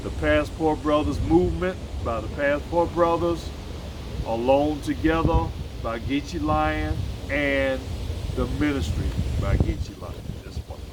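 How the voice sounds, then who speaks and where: A man's voice: fairly high pitch, unhurried, noisy background. A man in his sixties from the United States, talking alone in a casual vlog about his own life, rambling and correcting himself, with blunt, emphatic asides. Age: 60s. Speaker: vlogger and musician. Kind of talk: casual solo vlog monologue.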